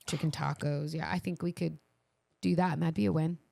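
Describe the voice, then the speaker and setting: A woman's voice: low voice, fairly even intonation, brisk, gravelly, warm tone, a little hiss or hum. A woman co-hosting a podcast, talking in a casual, conversational way with her partner. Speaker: podcast co-host.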